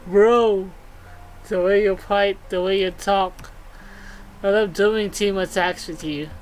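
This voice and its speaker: A woman voicing a lovestruck, awkward male armored guard in a video game, talking in halting bro slang. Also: mid-range voice, fairly even intonation, darkish tone, slight background noise, close to an everyday voice, low-quality recording. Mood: earnest, lovestruck.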